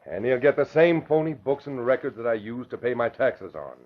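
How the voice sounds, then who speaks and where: An actor playing a cocky middle-aged racketeer who poses as an honest bookmaker, in 1940s radio style with a smug, self-righteous manner. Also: male voice, mid-range voice, animated, a little fast, close to an everyday voice. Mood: smug, scheming. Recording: muffled old radio broadcast.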